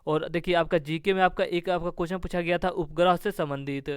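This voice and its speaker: A man's voice: fairly high pitch, fairly even intonation, brisk, clear, resonant tone, dry recording, clean studio sound. A man giving an informal exam review as a coaching teacher, instructive and leaning on repeated stock phrases.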